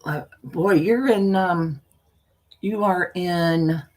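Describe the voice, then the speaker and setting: Slow, husky older woman, folksy storyteller. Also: fairly low pitch, a little mellow. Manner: folksy, meandering, often trailing off and repeating. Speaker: storyteller and live stream host. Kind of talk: casual rambling chat with viewers; reading a spooky story aloud.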